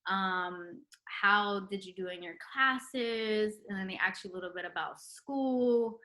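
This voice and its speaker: A woman's voice: mid-range voice, a little slow, sharp tone. A young woman, a retired nurse, chatting as an interview guest with lots of ums and likes. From Puerto Rican heritage. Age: young adult. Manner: chatty, many ums and likes, laughs often. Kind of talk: casual interview chat.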